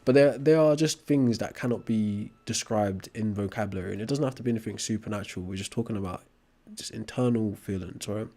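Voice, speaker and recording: A man hosting a livestream, calmly reasoning and pushing back with skeptical questions and frequent "right?" asides. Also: on the low side. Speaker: livestream host. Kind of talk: conversational debate.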